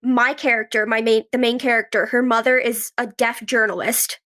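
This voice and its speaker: A woman's voice: fairly high pitch, flat delivery, normal speed, fairly bright tone. A young woman answering interview questions in a casual, conversational way, telling stories and often saying "like" and quoting her own thoughts. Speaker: podcast guest, actress and writer.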